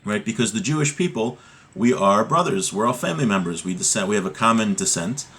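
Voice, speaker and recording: A man's voice: fairly low pitch, expressive, brisk, fairly bright tone, slightly rough. A man giving a religious lesson as a teacher, explaining a text and often posing rhetorical questions to check understanding.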